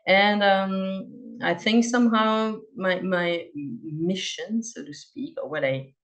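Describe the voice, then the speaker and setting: A woman's voice: mid-range voice, fairly even intonation, slow, soft background music. A woman from Belgium with a Belgian French accent, giving a friendly online presentation over a video call. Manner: friendly, light laughs between points. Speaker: guest speaker. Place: home in Portugal.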